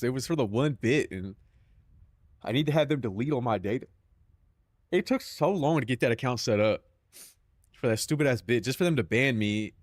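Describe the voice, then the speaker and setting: A young man with a Southern Californian accent riffs loosely with his stream chat, swearing freely and tossing in "bro" every few lines. His voice sits at an ordinary pitch. The sound is dry, with no room echo. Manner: loose, profane banter peppered with "bro".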